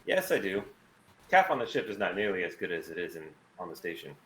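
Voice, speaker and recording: A man's voice: sits low, very animated, quick pace, fairly bright tone. Echoey, cool-headed mercenary pilot, acted by a man. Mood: guarded. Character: confident, evasive male mercenary pilot in a space adventure. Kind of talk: table read of a sci-fi script. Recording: roomy echo with noticeable background noise.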